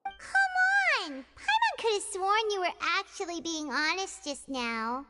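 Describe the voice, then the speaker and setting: A woman voicing a tiny, childlike, excitable fairy companion in a video game. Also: a little slow, full character voice. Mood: indignant. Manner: squeaky, exclaiming, speaks in third person. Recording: loud music behind.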